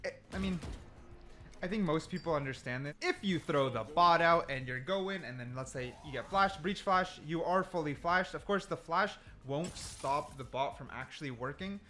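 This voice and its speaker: Higher-voiced man doing casual gameplay commentary. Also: animated, moderate pace, pushed and bright. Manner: explanatory, repeats points for emphasis. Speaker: gaming video creator.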